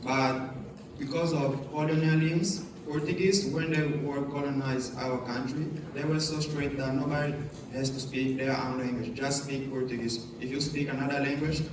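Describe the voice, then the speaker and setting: A student from Angola whose first language is Kimbundu, speaking haltingly. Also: male voice, medium pitch, steady tone. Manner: halting, restarts words. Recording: distant, echoey, noisy room.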